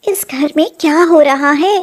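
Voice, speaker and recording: An exasperated middle-aged mother-in-law performed by a woman narrator. Her voice is high and deliberate, full of puzzled questions and household scolding in a family storybook style. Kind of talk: animated moral story acting.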